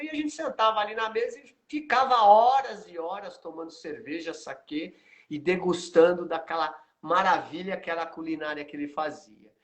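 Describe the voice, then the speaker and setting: A man hosting a live interview, telling stories with lively asides. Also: high voice. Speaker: host, martial arts teacher.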